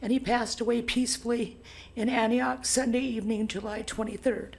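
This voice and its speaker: A hoarse woman reading a bereavement notice at a council meeting, soft and careful. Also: fairly high pitch, steady tone, moderate pace. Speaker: public commenter.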